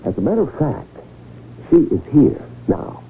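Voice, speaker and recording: A man's voice: a little high, sing-song, moderate pace. A man playing a fussy, persuasive con man in a 1970s radio drama, talking in an obsequious, ceremonious manner. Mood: urging, deferential. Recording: tinny, noisy old radio broadcast recording.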